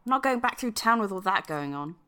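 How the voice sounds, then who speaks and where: A woman playing a brisk, grumbling friend in casual radio play dialogue. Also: medium pitch, animated, bright, forceful tone, clear, resonant tone.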